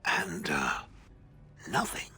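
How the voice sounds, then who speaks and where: A deep, swooping golem voice, ancient and haughty. It speaks with dry, weary sarcasm and a rough husk, as a video game character who scorns humans. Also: male voice, wildly swooping pitch, drawn-out words, sharp tone, exaggerated voice. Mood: puzzled. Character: ancient stone golem, sardonic, bored, contemptuous of humans.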